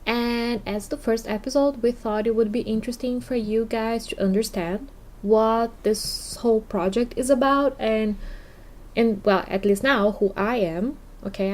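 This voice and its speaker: A woman's voice: fairly high pitch, a little mellow. Brazilian-accented woman hosting a chatty podcast. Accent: Brazilian Portuguese. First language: Portuguese. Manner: chatty, often checks in with "okay?". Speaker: podcast host. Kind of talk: casual podcast monologue.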